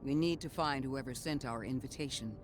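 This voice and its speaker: A man's voice: medium pitch, brisk, over loud music, natural voice. An actor playing an earnest, scholarly male mission guide in a video game, articulate, analytical and urgent. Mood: grave, concerned. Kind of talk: scripted video game dialogue.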